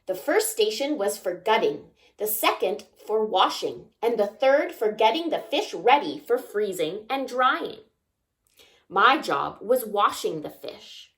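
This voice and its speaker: A woman reading a children's picture book aloud expressively, pausing to ask young listeners simple questions. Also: medium pitch, very animated, fairly bright tone. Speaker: children's story time reader.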